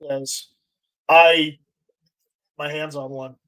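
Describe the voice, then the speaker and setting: A man with a fairly high-pitched voice hosts a casual live stream. He moves between reading out comments and loose chat. His delivery is halting, with words often repeated as he goes. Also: flat delivery, bright, forceful tone, low-quality recording.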